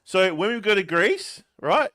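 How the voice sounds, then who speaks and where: A man's voice: sits high, big pitch swings, unhurried, sharp tone. An Australian man co-hosting a casual podcast, talking in an emphatic, insistent, slangy way. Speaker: podcast co-host. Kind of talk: casual, opinionated chat.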